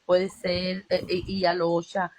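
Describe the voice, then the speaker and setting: A woman's voice: fairly low pitch, monotone, slow pace. A woman from Cuba with a Cuban Spanish accent, telling personal stories in a casual spiritual chat, with fillers and repetitions. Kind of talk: casual conversation about spirituality.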